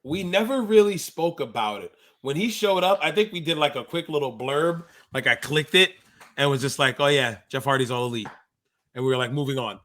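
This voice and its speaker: A man's voice: fairly high pitch, brisk, a bit bright. A man in his thirties co-hosting a wrestling podcast, chatting casually in slangy insider talk. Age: 30s. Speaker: co-host of a pro wrestling podcast; active pro wrestler. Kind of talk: casual podcast chat and commentary.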